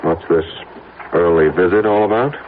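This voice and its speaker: Actor as a deep-voiced, harried husband. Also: male voice, moderate pace, slight background noise, natural voice. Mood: irritated, wary. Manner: curt, grumbling. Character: harried, irritable husband being blackmailed. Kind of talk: radio drama acting. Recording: muffled lo-fi radio drama.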